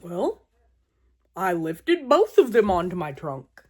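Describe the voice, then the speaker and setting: A woman voicing an earnest male elephant in a storybook, telling his woes in a deliberate, drawn-out, dramatic way. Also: lowish voice, sing-song, dark tone, breathy edge, heavily acted. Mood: grave, dramatic.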